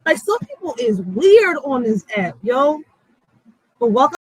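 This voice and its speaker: Woman, high-pitched, dry close sound, blunt, emphatic, heated rant, heavy street slang. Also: big pitch swings, measured pace, dry recording, poor audio. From Puerto Rico or Puerto Rican background. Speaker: channel host. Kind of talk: heated, profane casual monologue.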